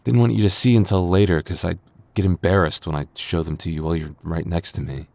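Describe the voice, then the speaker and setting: A man acting a deep-voiced, bashful boyfriend type. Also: steady tone, fast, creaky, darkish tone, no room sound. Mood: panicked, playful. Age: young adult. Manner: stammering, defensive, sighing, easily flustered. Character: flustered, bashful young man, friend's younger brother with a crush. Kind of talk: intimate boyfriend ASMR roleplay.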